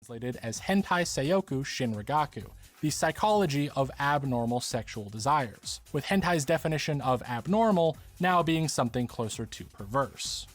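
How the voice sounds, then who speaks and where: Man narrating a scripted video essay. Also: mid-range voice.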